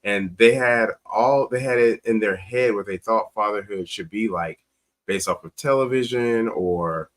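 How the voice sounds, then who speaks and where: A man's voice: lowish voice, measured pace, low-quality recording. A man in an interview, telling personal stories conversationally as a guest and acting out quoted dialogue with emphasis. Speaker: talk show guest, teacher. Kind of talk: conversational storytelling on a talk show.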